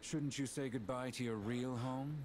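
An actor playing a cold, aloof young villain in a game drama, measured and stern. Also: male voice, medium pitch, fairly even intonation, a little mellow, a little hiss or hum, lightly acted. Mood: cool, needling. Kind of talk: dramatic video game voice acting.

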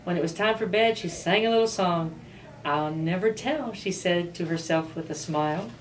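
A woman's voice: fairly low pitch, moderate pace. A woman in her sixties reading a children's picture book aloud. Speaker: school principal. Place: book-lined school office. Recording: distant mic, faint noise.

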